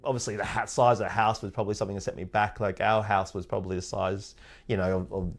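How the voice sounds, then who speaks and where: A man from Thailand raised in Australia, speaking with an Australian accent as an interview guest, relaxed and laughing often. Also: on the low side, quick pace. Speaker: guest, head of sales operations. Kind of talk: casual interview chat.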